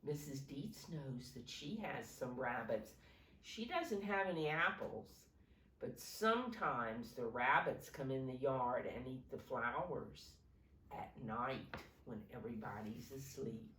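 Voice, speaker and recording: A woman reading a picture book aloud to young children as a teacher, pausing to explain simply and ask them questions. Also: extremely low pitch, lively intonation, a bit bright, a little hiss or hum. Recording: roomy echo, distant from microphone.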